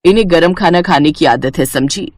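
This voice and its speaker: A woman's voice: sits low, normal speed, a little mellow, no echo, studio quality. A woman voicing a proud, bossy middle-aged Indian mother-in-law with a stern, nagging manner in a family moral story. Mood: stern, scolding.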